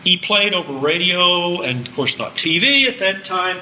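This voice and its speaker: Older american guy lecturing w slides, wry asides, sharp bright voice, echoey room a bit far from mic. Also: high voice, expressive, moderate pace. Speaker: music college lecturer. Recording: echoey hall, distant, faint background noise.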